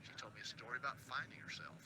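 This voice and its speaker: An actor playing a radio call screener who makes wry, exasperated asides. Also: male voice, medium pitch, racing, lightly acted. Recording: steady background noise.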